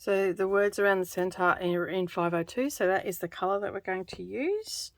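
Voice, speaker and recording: A woman chatting in a relaxed, rambling way while she stitches for her craft vlog. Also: medium pitch, normal speed, no room sound. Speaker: craft vlogger. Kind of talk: casual chat while stitching.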